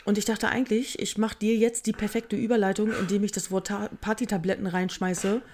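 A woman's voice: mid-range voice, little pitch movement, a little fast, a little mellow, a little hiss or hum. A woman co-hosting a podcast, chatting casually and joking about herself. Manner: joking, chatty, self-mocking. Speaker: podcast co-host. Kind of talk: casual chat.

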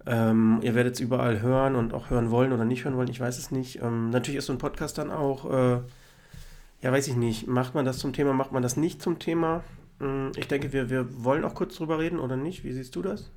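A man co-hosting a podcast, hoarse from a cold, chatting in a relaxed, hesitant way. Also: medium pitch, fairly quick. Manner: relaxed, hesitant, lots of fillers. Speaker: podcast co-host. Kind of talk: casual chat.

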